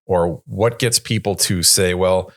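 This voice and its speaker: A man in his late forties chatting reflectively as a podcast co-host, thinking aloud in a wry, halting way. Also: extremely low pitch, expressive, a bit bright, no room sound, clean studio sound. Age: late 40s. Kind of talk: casual reflective panel chat.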